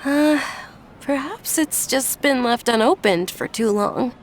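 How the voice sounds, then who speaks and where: A woman playing a gentle, timid young noble lady in a video game, polite and hesitant. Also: sits high, slow, soft background music. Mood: tentative. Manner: hesitant, stammering.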